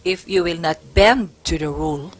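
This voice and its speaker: A woman playing a devout, composed and firm Spanish prioress in a historical stage play, measured and courteous. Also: fairly low pitch, husky, soft background music.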